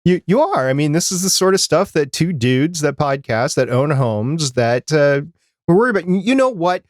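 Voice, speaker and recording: A man's voice: on the high side, lively intonation, moderate pace, dry recording. Chatty man co-hosting a podcast, dry close sound. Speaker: podcast co-host. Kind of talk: casual podcast banter.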